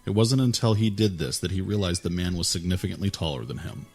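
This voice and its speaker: A male narrator reads fantasy fiction in a very deep register. The delivery is scripted audiobook storytelling, with faint music running behind the voice. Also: quick pace, a little mellow.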